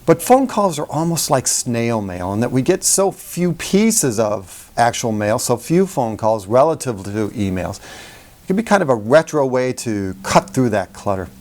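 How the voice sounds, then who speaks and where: A man's voice: mid-range voice, big pitch swings, normal speed, darkish tone. Middle-aged man coaching in a conversational way. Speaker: sales communication coach hosting a how-to video. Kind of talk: conversational how-to talk with personal anecdotes.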